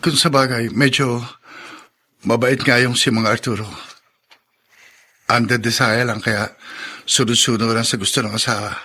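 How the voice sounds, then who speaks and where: A man playing a polite, husky young engineer. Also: mid-range voice, steady tone, normal speed, long pauses, sharp tone. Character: young engineer, earnest and grateful husband. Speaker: voice actor. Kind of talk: audio drama acting.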